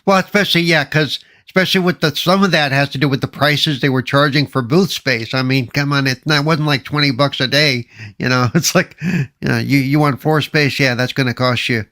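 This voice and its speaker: A man's voice: medium pitch, fairly quick, bright, forceful tone. A man chatting casually as a podcast panelist, with a wry, conversational manner. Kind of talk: casual chat.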